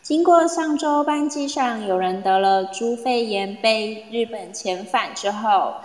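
A woman playing the recap narrator of a comedy audio drama, reading scripted narration. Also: on the high side. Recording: echoey, reverberant online audio room.

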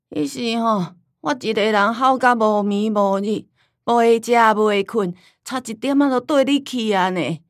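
A woman's voice: on the high side, no room sound, studio quality. An actress playing a forgetful, artless old grandmother with a Taiwanese accent, hesitant and folksy, in a radio drama. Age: older.

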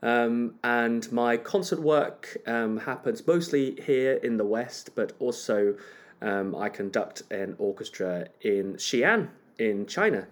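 A man's voice: medium pitch, animated, slow pace. A man from Hong Kong whose first language is Cantonese. He is a conductor telling stories as a podcast guest, chatty and self-deprecating. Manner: modest, chatty storyteller with laughs and self-deprecating humor. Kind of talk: casual interview chat.

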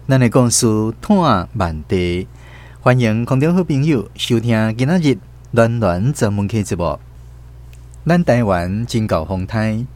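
A man with a Taiwanese accent narrating a scripted radio story as its host. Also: fairly low pitch. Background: soft plucked-guitar music.

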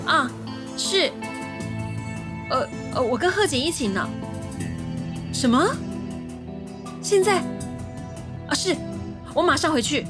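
High-voiced, chatty young woman. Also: animated, takes it slowly, long pauses, over loud music. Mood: flustered, casual. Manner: bubbly, informal. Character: chatty, excitable young woman helping an investigation. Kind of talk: Mandarin audio drama acting.

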